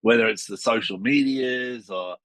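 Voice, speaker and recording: Low raspy guy hosting a chatty interview, punchy tone. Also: moderate pace, a bit bright, dry recording. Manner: upbeat and chatty. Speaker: host. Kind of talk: casual interview.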